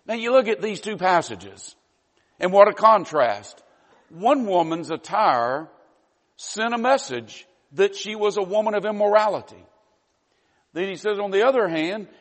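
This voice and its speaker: A man's voice: fairly high pitch, long reverb tail. A man with a Southern US accent preaching a sermon in a folksy, earnest way, dropping in frequent "you know" asides. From Southern United States.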